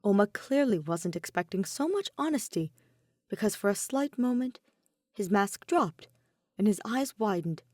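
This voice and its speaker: A woman's voice: medium pitch, expressive, normal speed, darkish tone, no room sound, studio quality. A woman reading a scripted piece of fan fiction as its narrator. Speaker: podfic narrator.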